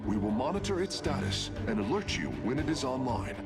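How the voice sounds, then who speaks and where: Expressive, dutiful alien engineer, voiced by a man. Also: mid-range voice, brisk, over loud music, close to an everyday voice. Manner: precise, polite, technical. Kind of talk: dramatic video game cutscene acting.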